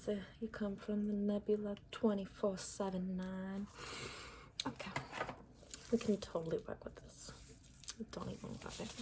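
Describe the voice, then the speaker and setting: A woman doing an improvised ASMR makeover roleplay, mixing soft breathy whispers with murmured speech in a playful, rambling, soothing way. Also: lowish voice, noisy background.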